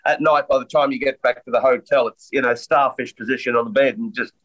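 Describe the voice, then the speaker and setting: A man's voice: mid-range voice, fairly even intonation, fast talker, fairly bright tone. A man co-hosting a podcast in casual, blunt, jokey chat, sounding nasal and blocked up. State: congested, stuffy nose. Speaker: podcast co-host and golf commentator.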